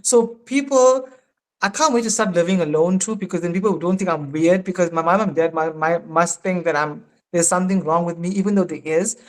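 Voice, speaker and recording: A South African man in his thirties, a podcast guest in casual, animated chat who laughs often. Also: sits high, brisk, rough recording. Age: 30s.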